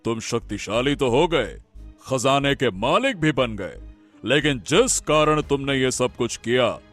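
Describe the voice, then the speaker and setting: Man as a slow, commanding holy man. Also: medium pitch, fairly bright tone, exaggerated voice. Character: cunning, commanding holy man with magical powers. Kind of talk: animated Hindi moral-story acting.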